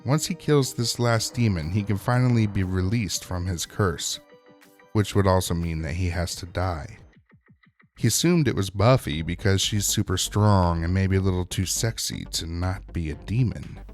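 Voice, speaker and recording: A man's voice: deep voice, normal speed, soft background music. A man hosting a scripted recap and review of a TV episode, narrating in a wry, opinionated way. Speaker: host of a TV episode recap show.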